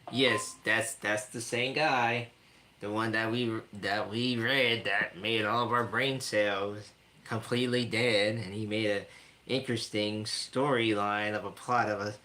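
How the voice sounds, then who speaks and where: Guy ranting on his stream, repeats himself, trips over his words a lot, bright punchy voice. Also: on the low side, slight background noise. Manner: ranting, repetitive, stumbling restarts. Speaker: streamer host. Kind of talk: casual livestream rant.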